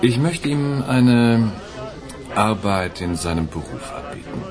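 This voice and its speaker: Low-voiced, condescending underworld client. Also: male voice, big pitch swings, slow, crowd noise, heavily acted. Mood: coolly threatening. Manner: mocking, insistent, condescending. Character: menacing, mocking male client, smugly demanding underworld services. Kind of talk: German radio crime drama acting.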